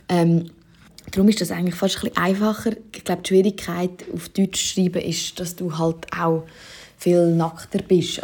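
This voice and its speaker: A woman's voice: lowish voice, warm tone, husky. A young woman with a Swiss German accent, a singer-songwriter casually answering interview questions with many fillers.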